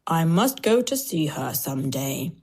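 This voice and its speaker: A woman voicing a sly, hungry male wolf in a storybook, with a slow, coaxing, falsely polite and menacing manner. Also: low voice, very animated, warm tone, slightly rough, full character voice.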